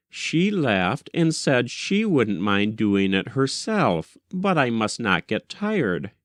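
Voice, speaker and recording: A man reading a first-person short story as scripted literary narration, with measured, deliberate delivery. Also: medium pitch, no echo. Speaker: audiobook reader.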